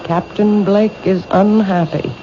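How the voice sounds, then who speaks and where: A troubled, apologetic woman played by an actress speaks at a medium pitch. It is old radio drama, muffled and clearly noisy. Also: steady tone, measured pace, natural voice. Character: apologetic, troubled woman under police protection.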